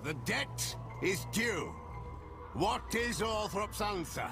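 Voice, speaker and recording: Haughty male game official, formal, dismissive, very lively intonation, higher register. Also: slow pace, music in the background, heavily acted. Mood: demanding. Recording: loud game score behind.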